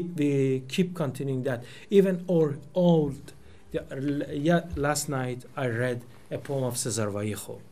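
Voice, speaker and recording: A man's voice: medium pitch, mellow. A man in his forties whose first language is Persian, giving a reflective talk in a small meeting room. He searches for words as he goes, restarting phrases often. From Iran. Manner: thoughtful, searching for words, many restarts and fillers. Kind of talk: reflective lecture. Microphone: table microphone.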